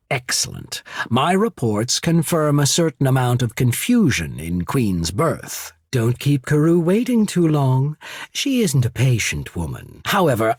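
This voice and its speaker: An actor playing a cool, shrewd, courteous military official in a video game, speaking in a measured, formal, husky way. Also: male voice, medium pitch, no room sound, studio quality, exaggerated voice. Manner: measured, formal, subtly calculating. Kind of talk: scripted game character dialogue.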